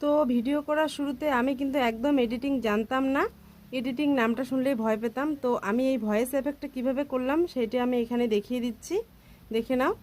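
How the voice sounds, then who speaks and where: High-pitched woman vlogging casually. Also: steady tone, fast talker, a little mellow. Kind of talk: casual tutorial and vlog talk.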